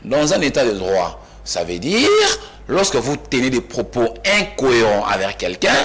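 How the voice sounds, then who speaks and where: A man from Kinshasa, a panelist in a heated studio debate who cuts in, repeats himself and rises almost to shouting. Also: mid-range voice, very animated, moderate pace. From Kinshasa, DR Congo. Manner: insistent, interrupts, repeats himself emphatically, rising to near-shouts.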